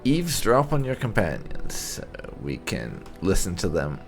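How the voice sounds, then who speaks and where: A man hosting a let's play, giving commentary in halting, drawn-out phrases. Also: low-pitched, wildly swooping pitch, soft background music. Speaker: gaming channel host.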